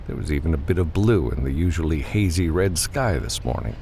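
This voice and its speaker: A man's voice: a bass voice, expressive, a little fast, creaky, a little mellow, slight background noise. A man reading scripted fiction as an audiobook narrator.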